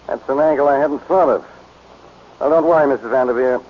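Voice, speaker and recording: An actor playing a gruff, suspicious, excitable police inspector in a 1940s radio crime drama. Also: male voice, medium pitch, brisk, lightly acted. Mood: measured. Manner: brusque, excitable. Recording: muffled, noisy 1940s radio broadcast.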